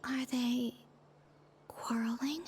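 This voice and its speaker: An actress playing a wistful, innocent, ethereal young maiden in a video game, breathy and hushed. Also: female voice, sits high, fairly even intonation, takes it slowly, slight background noise. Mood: wondering.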